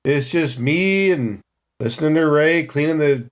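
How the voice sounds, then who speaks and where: A man's voice: a little high, a little slow, natural voice. A man playing a laid-back, grumbling space Marine in improvised tabletop roleplay, slangy and wry. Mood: reminiscing, grumbling.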